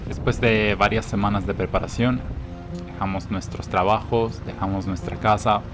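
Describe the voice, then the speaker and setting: Low-voiced male voice-over narrator. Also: fairly even intonation, moderate pace, over music.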